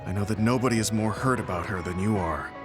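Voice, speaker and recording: A kind, weary veteran soldier performed by a male actor for a video game. His voice sits on the low side and he speaks in measured, reflective phrases that carry long guilt. Prominent music plays behind him. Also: lightly acted. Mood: sorrowful, guilty. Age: middle-aged. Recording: studio voice under loud game music.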